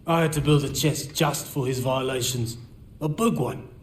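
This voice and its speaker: Dry, wry mid-pitched mourner played by a man. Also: moderate pace, a little hiss or hum, natural voice. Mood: wry fondness. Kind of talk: video game acting.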